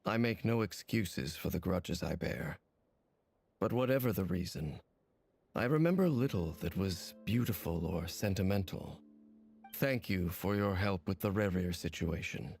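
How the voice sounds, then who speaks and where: An actor playing a brooding, guarded, long-lived wanderer in a video game, with a low gravelly voice and a measured, grave manner. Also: male voice, expressive, a little mellow, full character voice. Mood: grave, haunted.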